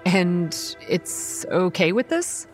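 A young woman playing a wry campground manager, telling a horror story in a measured, scripted first-person style. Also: on the low side, sing-song. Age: young adult. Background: music.

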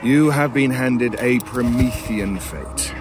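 A man's voice: medium pitch, slow pace, music in the background, close to an everyday voice. Man voicing a sardonic, sinister scavenger crow creature. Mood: cold, mocking. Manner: formal, erudite, drily mocking. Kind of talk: audio horror drama acting.

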